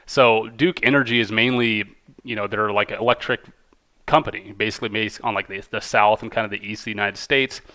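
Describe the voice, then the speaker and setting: A man's voice: lowish voice, steady tone, fairly quick, bright, forceful tone. A man hosting a casual commentary video, informal and enthusiastic, moving between reading quotes aloud and giving his own slangy take. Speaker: video host, amateur stock commentator.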